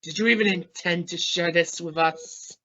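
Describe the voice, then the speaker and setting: A man's voice: a little high, steady tone, moderate pace, pushed and bright, muffled recording. A man playing an exasperated, suspicious space crewman in an amateur radio drama, questioning emphatically. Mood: stern. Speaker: amateur radio play actor.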